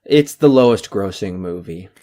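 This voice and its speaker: Low-voiced male podcast co-host. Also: normal speed. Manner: chatty, steady, full of dates and figures. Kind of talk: casual podcast chat.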